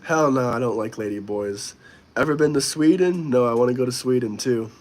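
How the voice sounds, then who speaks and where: A man's voice: mid-range voice, fairly quick. A young man hosting a live stream, casually and bluntly answering viewer comments while a little under the weather. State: slightly sick. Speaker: livestream host.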